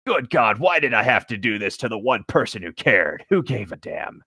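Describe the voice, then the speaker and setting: A guilt-ridden male shark monster, read by a man, tells his own fan-fiction tale in anguish. The voice sits at a middling pitch, in a dry, close recording. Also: big pitch swings, normal speed, sharp tone, breathy edge, no echo, exaggerated voice.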